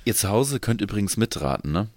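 Lively man chatting, animated pitch. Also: a little fast, no echo, clean studio sound. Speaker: podcast co-host, quiz contestant. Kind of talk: casual chat.

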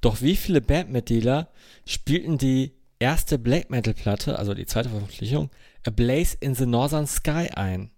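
Male podcast host, mid pitch, casual teasing quizmaster delivery, dry recording. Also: normal speed, a little mellow, no echo. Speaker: podcast host running a quiz.

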